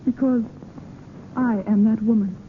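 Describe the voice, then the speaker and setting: A woman's voice: on the high side, fairly even intonation, measured pace, phone-quality sound, lightly acted. A woman acting a proud, earnest young noblewoman with formal, dignified speech in a theatrical old-time radio drama. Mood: earnest, pleading. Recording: thin, noisy old radio broadcast.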